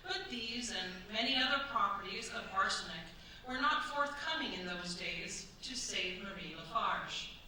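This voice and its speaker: A grave woman narrator, played by an actress in a staged radio drama. Her bright voice moves widely in pitch and echoes strongly across the hall. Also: animated, a little slow. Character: grave woman narrator of true crime tragedy. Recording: echoey hall, distant mic, faint noise.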